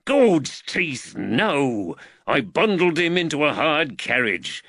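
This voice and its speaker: A man voicing a hearty, calm working-class constable in an audiobook, colloquial and blunt. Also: on the high side, dry recording, clean studio sound, voice pushed up, heavily acted. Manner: colloquial, unhurried, plain-spoken. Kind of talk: audiobook character dialogue.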